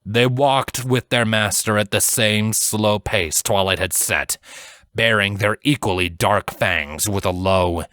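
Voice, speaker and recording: A man narrating a dramatic fantasy story from a script in a measured, solemn storytelling manner. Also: lowish voice, sharp tone, dry recording, studio quality. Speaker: audiobook narrator.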